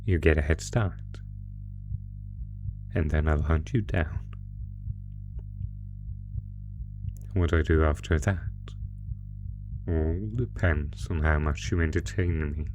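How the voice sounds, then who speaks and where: A man plays a sinister monster from under the bed in a horror audio roleplay, with a very low voice. He gloats and taunts with mock politeness, very slowly and deliberately, relishing cruelty over clearly audible music. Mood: eager, predatory. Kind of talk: horror roleplay audio, slow theatrical menace.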